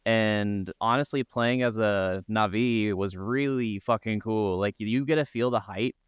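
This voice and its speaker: A man's voice: on the low side, unhurried, clean tone, no echo. A man co-hosting a podcast, chatting casually in a colloquial way with some swearing. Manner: colloquial, sweary, heavy use of "like". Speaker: podcast co-host. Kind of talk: casual banter between co-hosts.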